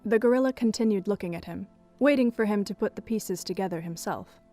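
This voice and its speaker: A woman reads scripted story narration for an audio drama. Her voice sits at a medium pitch, with music playing softly behind it. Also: fairly quick.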